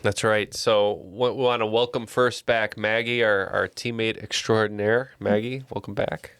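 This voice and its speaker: A man's voice: on the low side, little pitch movement, normal speed, a bit bright, clear, resonant tone, no echo, studio quality. A man co-hosting a trivia podcast in a casual, chatty way.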